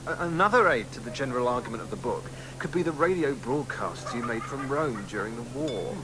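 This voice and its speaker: An actor playing a polite, placating publisher pitching a book deal in a radio drama. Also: male voice, expressive, a little fast, background noise, close to an everyday voice. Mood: persuasive.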